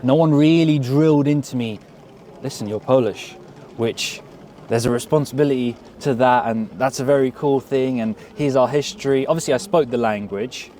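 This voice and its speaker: A man's voice: medium pitch, moderate pace, warm tone, slight background noise. Earnest man with an English accent. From grew up in England. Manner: reflective, earnest, repeats words while thinking. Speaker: interview guest. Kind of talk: casual interview. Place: outdoors at a street event.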